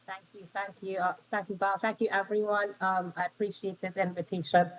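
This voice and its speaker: A woman's voice: medium pitch, little pitch movement, brisk, low-quality recording. A woman from Trinidad with a Trinidadian accent, giving an interactive conference talk as a lecturer over a video call, chatty with frequent ums and self-corrections. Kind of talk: interactive remote presentation.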